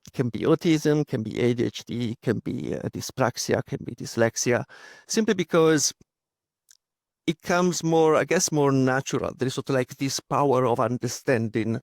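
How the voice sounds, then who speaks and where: A man's voice: medium pitch, normal speed, vocal fry, darkish tone, dry recording, studio quality. A man in his thirties with an Italian accent, talking as a podcast guest with many fillers and restarts. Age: 30s. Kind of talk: casual interview talk.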